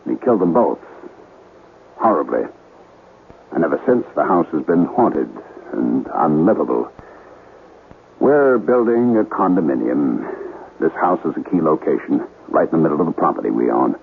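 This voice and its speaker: An actor playing an uneasy, hesitant real estate developer in a 1970s radio mystery. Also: male voice, extremely low pitch, sing-song, normal speed, gravelly, pauses often, full character voice. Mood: grim. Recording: muffled, noisy vintage radio broadcast recording.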